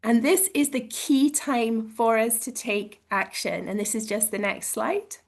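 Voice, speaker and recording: Croaky-voiced woman giving an earnest talk. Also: a little high, a little slow. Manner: earnest, campaigning. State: croaky voice from illness. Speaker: campaign speaker. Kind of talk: prepared talk to a meeting. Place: at home, joining a meeting online. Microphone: video call. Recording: heard over a video call.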